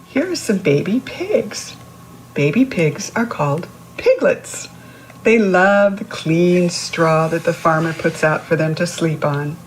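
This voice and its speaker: A woman's voice: lowish voice, expressive, slow, a little hiss or hum, poor audio. A woman reading a picture book aloud to young children, instructive, posing questions to the listener and explaining words. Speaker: children's read-aloud host.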